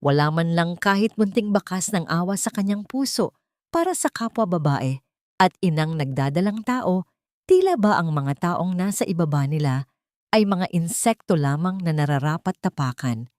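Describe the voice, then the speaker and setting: A woman narrates a scripted drama in a somewhat low voice. She tells it solemnly and expressively, as a storyteller. The sound is dry, with hardly any room to it. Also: expressive, moderate pace, a little mellow, no room sound.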